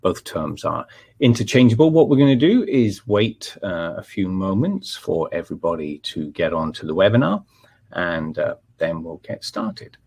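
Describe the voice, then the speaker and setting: A man's voice: low voice, sing-song, normal speed, darkish tone, breathy edge. A middle-aged Scottish man with a Scottish accent hosting a live technical webinar, talking conversationally with many fillers and restarts. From Scotland. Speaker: webinar presenter, technical manager.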